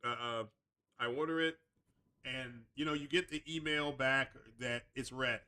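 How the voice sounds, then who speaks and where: A man hosting a casual tech live stream, raspy from a cold and rambling through chatty asides. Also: mid-range voice, normal speed, pushed and bright. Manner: rambling, chatty, loose restarts. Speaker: tech channel host.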